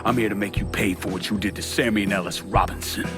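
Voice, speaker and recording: Man voicing a grim avenger, fairly low pitch, controlled menace, video game drama, loud music behind. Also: fairly even intonation, quick pace, natural voice. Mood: cold, menacing.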